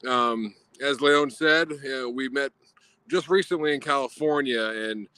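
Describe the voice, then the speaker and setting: A man from Guatemala telling a personal story in an earnest, reflective way, pausing often with fillers over a video call. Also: mid-range voice, bright, forceful tone, smooth, dry recording.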